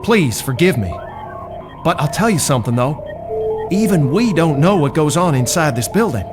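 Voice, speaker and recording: An officious company gatekeeper in an animated game, formal and deferential. His voice sits a bit high for a man, with music clearly behind it. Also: normal speed. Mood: apologetic, confiding. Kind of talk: animated game cutscene voice acting.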